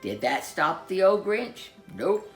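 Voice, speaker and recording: A woman's voice: lowish voice, sing-song, takes it slowly, soft background music. A woman storyteller giving a theatrical, emphatic reading of a children's rhyming storybook.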